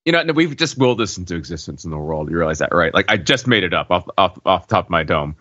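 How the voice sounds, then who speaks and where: Deep, bright-voiced man on a dull recording. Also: lively intonation, fast, dry recording. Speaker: podcast host. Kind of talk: casual pop culture discussion.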